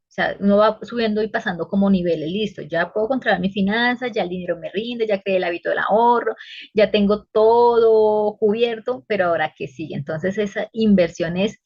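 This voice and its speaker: A woman podcast guest working as a financial advisor, explaining retirement saving and investing in a measured, patient way. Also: mid-range voice, a little mellow, no echo, highs cut off. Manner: explanatory, measured advice-giving. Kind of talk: casual podcast interview.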